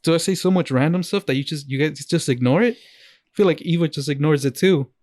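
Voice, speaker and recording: A man's voice: fairly high pitch, fairly quick, a little mellow, no room sound. A man co-hosting a podcast, chatting casually in a playful, rambling way on a close mic. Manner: playful, rambling, talks over others. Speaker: podcast co-host. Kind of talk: casual chat.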